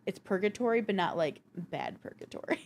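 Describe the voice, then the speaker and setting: A woman co-hosting a podcast in casual gaming chat, telling things in an animated, self-deprecating way. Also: mid-range voice, fairly quick. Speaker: podcast co-host.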